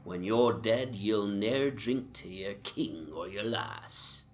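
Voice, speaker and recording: A man acts out a jovial Georgian drunkard with a lowish voice, swaggering through rhymed tavern verse. He declaims boisterously, like a toast-maker in an audio drama, over a clearly audible ambient backdrop. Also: lively intonation, takes it slowly, slight background noise. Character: tipsy jovial Georgian tavern reveler reciting bawdy drinking verse. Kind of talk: theatrical rhymed drinking-song recitation.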